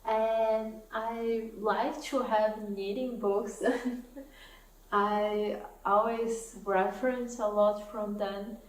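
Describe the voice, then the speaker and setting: A young woman speaks English, slowly and thoughtfully, in an easy vlog chat. She is recorded from a little way off the mic, in an echoey room with a faint hiss underneath. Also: mid-range voice, far from the mic. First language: Portuguese. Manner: soft-spoken, thoughtful, laughs often. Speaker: knitting vlogger. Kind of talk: casual chat to camera.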